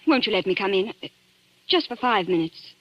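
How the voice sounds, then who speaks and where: A woman's voice: medium pitch, lively intonation, a little fast, close to an everyday voice. An actress playing an anxious, desperate young lady in a 1940s radio drama, well-spoken, hesitant and pleading. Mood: nervous, pleading. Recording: dull, dry old radio recording.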